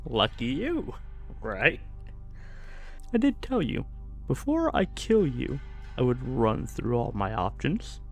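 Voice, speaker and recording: A man playing a menacing evil sorcerer in a fantasy ASMR roleplay, falsely gentle and condescending, with soft-spoken threats. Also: mid-range voice, vocal fry, heavily acted. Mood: smug, probing. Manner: slow, silky, condescending, softly threatening.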